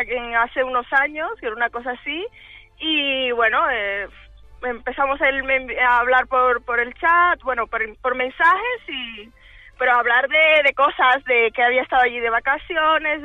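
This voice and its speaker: A woman's voice: high voice, dry recording. A woman from the Dominican Republic calling in on the phone, telling her own story in an informal, giggly way. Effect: telephone. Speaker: radio caller. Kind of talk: casual personal storytelling. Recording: tinny band-limited phone line.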